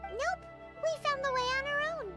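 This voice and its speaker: A woman voicing a tiny, blunt, cheeky childlike fairy girl companion in a video game, with a squeaky voice. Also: normal speed, sharp tone, soft background music. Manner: refers to self in third person, exclamatory. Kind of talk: animated video game voice acting.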